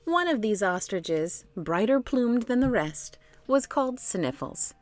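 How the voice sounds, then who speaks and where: Animated woman narrating a children's story. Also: on the high side, a little slow, dry recording. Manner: animated, addressing young listeners directly. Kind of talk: expressive children's read-along narration.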